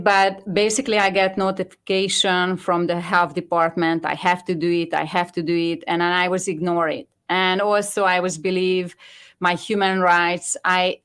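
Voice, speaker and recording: A Hungarian woman speaking English with a Hungarian accent, giving an earnest, halting personal testimony as a guest. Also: fairly low pitch, fairly bright tone, clean tone. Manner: earnest, halting non-native phrasing. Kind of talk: personal testimony on a talk show.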